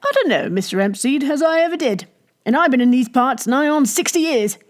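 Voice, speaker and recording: A woman's voice: high-pitched, expressive, fairly quick, voice pushed up. A woman voicing a good-humoured male innkeeper with a rural English dialect, talking in a hearty, emphatic way.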